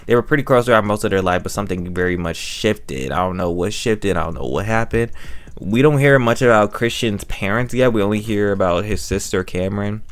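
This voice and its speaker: A young American man with a low voice recaps a story off the cuff. He rambles as he reviews it, circling back and repeating phrases in a casual way. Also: fairly quick. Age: young adult.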